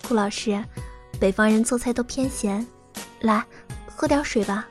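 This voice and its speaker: High-voiced, chatty young student played by an actress. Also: female voice, normal speed, a little mellow, close to an everyday voice. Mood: cheerful, chatty. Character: lively young female university student. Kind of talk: Chinese radio drama acting. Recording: music behind the voice.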